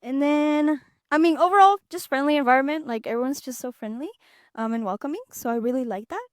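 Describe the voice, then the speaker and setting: A woman's voice: high-pitched, fairly quick, no echo, clean studio sound. A young woman from Peru co-hosting a casual student podcast, chatty and full of "um" and "like". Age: young adult. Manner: chatty, many fillers like "um" and "like". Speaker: podcast co-host, student ambassador. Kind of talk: casual chat.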